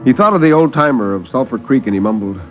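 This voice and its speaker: Male narrator for vintage 1950s radio horror; grave, theatrical, widely varied pitch, slightly dull old recording. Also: animated, faint music behind. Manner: measured, grave, theatrical storytelling. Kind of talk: dramatic 1950s radio horror story reading.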